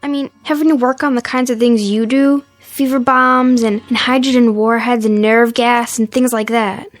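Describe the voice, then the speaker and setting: An actress in a radio drama playing an earnest, caring teenage daughter who questions anxiously. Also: female voice, fairly high pitch, fairly even intonation, normal speed, no echo, faint music behind, close to an everyday voice. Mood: concerned. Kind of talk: naturalistic radio drama acting.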